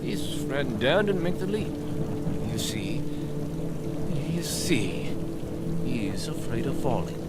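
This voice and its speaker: An actor playing a manic, theatrical supervillain clown in a comic dub, unhinged and swinging between shouts and mutters. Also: male voice, high-pitched, heavy background noise, full character voice. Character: manic, theatrical middle-aged male supervillain clown madman.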